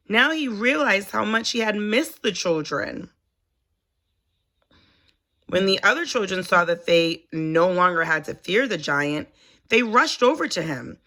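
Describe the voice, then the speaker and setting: A woman reading a children's story aloud in an expressive, deliberate storytelling manner. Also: medium pitch. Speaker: storybook reader, teacher.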